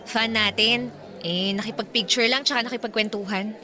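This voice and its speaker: Bright, friendly young Filipina singer. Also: female voice, fairly high pitch, steady tone, moderate pace. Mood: lighthearted, chiding. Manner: lighthearted, gently chiding. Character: friendly, trusting young female singer in a band. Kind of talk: Filipino radio drama acting.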